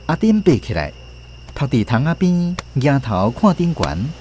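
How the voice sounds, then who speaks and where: A man with a Taiwanese accent narrating a scripted radio storybook drama in an expressive storytelling manner. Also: medium pitch, measured pace, a little hiss or hum.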